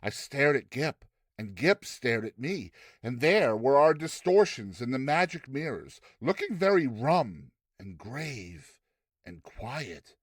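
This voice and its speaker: A man narrating a story in an expressive, dramatized reading style. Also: mid-range voice, slow, no echo.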